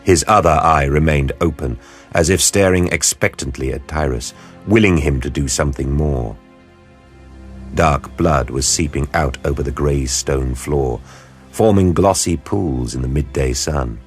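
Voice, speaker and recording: A man narrating an audio drama in a deep, husky voice with grave, deliberate storytelling. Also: faint music behind.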